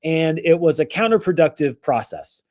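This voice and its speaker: Man, hoarse, casual movie news host, rambling chatty delivery, a touch high-pitched. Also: expressive, normal speed, a little mellow. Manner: rambling, self-correcting, chatty. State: hoarse from allergies. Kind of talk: casual live-stream talk.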